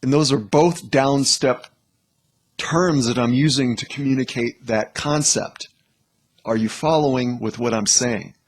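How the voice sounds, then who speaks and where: A man gives informal commentary as a host, with a medium-pitched, husky voice. He speaks slowly in halting, broken phrases and often checks that the listener is following.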